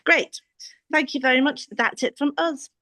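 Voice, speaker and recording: Halting woman talking unscripted on a call. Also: sits high, sharp tone, slight background noise. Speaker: arts project representative. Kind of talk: unscripted interview talk. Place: exhibition space, live video call.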